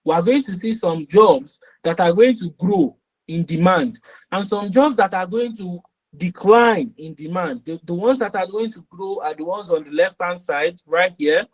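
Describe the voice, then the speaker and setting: A man's voice: sits high, moderate pace, rough recording. A man instructing a live online class. He often repeats key phrases and checks in with "okay" and "all right". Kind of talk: live webinar teaching.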